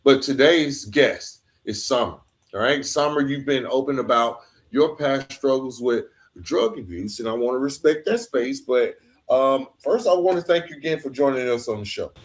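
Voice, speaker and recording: A man with a medium-pitched voice hosts a podcast in a casual, conversational style. He talks informally, addressing listeners directly between his interview questions. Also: expressive, normal speed.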